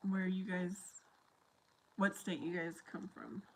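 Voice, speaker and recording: A woman's voice: fairly low pitch, flat delivery, takes it slowly, darkish tone, slight background noise. A young adult woman with a very young-sounding voice, chatting casually with viewers on a livestream, reading their comments and laughing often. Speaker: livestream host reading chat. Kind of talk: casual live chat with viewers.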